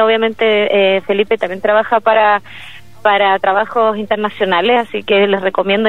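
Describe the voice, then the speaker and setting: A woman's voice: a little high, no room sound. A woman with a Chilean accent, a musician talking about her project in an interview, hesitating often with fillers and restarts. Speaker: solo musician, interview guest. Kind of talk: casual interview over a call. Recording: dry, tinny phone-like line.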